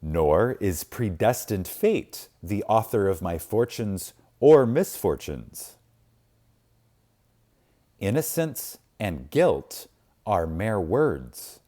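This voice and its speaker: Slow, solemn man with a mellow, low voice. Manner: solemn, deliberate, with long pauses and emphasis. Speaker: audiobook reader. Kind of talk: reverent, dramatic reading of spiritual text.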